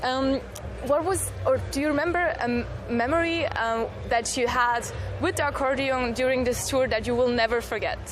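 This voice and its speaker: A woman's voice: high voice, normal speed, music in the background. A woman with a Romanian accent, a native Romanian speaker, asking casual interview questions. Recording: noisy outdoor urban ambience with traffic.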